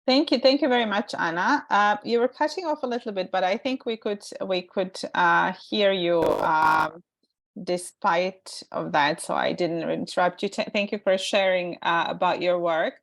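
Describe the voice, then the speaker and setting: A woman with a Croatian accent moderates a webinar in a slightly low voice. She talks informally and hesitantly, rephrasing her sentences as she goes. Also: normal speed, vocal fry. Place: online webinar call.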